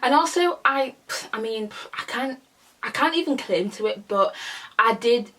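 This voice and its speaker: A woman's voice: on the high side, animated, unhurried, a bit bright. A young woman from a Congolese family, chatting casually through a Q&A vlog, rambling and restarting her sentences. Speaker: YouTuber.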